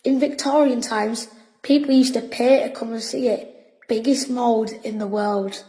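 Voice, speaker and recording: A woman's voice: fairly high pitch, fairly even intonation, unhurried, dark tone, natural voice, rough recording. A woman playing a bossy, boastful wartime boy who tells spooky tales, in an audio drama. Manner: officious, storytelling.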